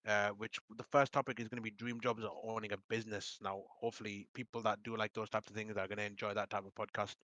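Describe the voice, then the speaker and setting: A man's voice: lowish voice, little pitch movement, fast talker, hardly any pauses, fairly bright tone, no echo. A young man hosting a casual podcast, rambling, laughing and losing his voice. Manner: rambling, laughing, repeats promotions. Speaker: podcast host.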